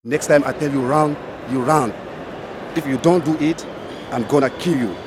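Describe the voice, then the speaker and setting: An actor playing a ruthless, foul-mouthed drug dealer who barks commands aggressively in an audio drama. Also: male voice, mid-range voice, moderate pace, close to an everyday voice. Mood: menacing, angry. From Africa. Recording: noisy outdoor street ambience.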